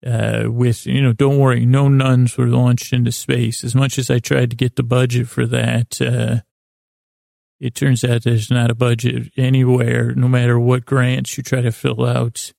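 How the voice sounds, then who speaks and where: Very creaky, mellow man rambling soothingly. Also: medium pitch, level tone, dry recording, clean studio sound. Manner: meandering, halting, soothing. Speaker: podcast host. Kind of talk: rambling whimsical bedtime monologue.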